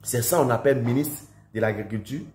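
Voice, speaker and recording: A man's voice: mid-range voice, a little fast, darkish tone. A man with an Ivorian French accent and a cold, giving indignant political commentary that rises to emphatic outbursts. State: has a cold. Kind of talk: impassioned video commentary. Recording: roomy echo.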